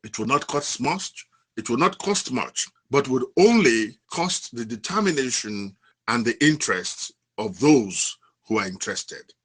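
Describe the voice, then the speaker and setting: Male academic, formal prepared talk, mid pitch, strongly varied intonation. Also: lively intonation, unhurried, a bit bright, breathy edge. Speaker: academic contributor.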